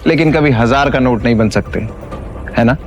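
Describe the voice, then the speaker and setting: A man's voice: medium pitch, moderate pace, faint music behind, close to an everyday voice. A man playing a defiant, scornful ex-husband in a Hindi audio drama, arrogant and taunting. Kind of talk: Hindi audio series acting.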